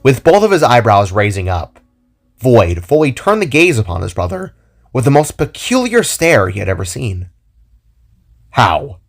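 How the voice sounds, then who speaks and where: A man narrating an audio drama in an even, unhurried reading voice. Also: medium pitch, creaky.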